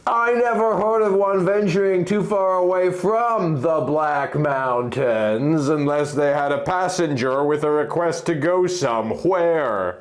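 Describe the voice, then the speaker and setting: A man's voice: a little high, voice pushed up. A man voicing a cautious, caring young male hero in a storybook fantasy tale.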